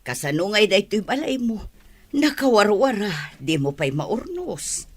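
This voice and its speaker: A woman's voice: medium pitch, big pitch swings, unhurried, breathy edge, exaggerated voice. A woman playing a practical, middle-aged family woman who scolds and gives orders. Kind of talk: radio drama acting.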